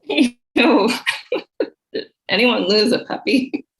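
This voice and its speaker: A woman's voice: a little high, takes it slowly, slightly rough, heavily acted, poor audio. A woman playing a tough, mocking warehouse worker in her forties, jeering through a table read. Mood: mocking, gleeful. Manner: jeering, cackling.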